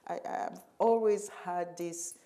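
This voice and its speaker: A middle-aged woman from Cameroon speaks in a panel conversation as a curator. Her voice sits low and sounds warm and mellow. She is reflective and emphatic, and often pauses to search for the right word. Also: creaky. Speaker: curator and museum director.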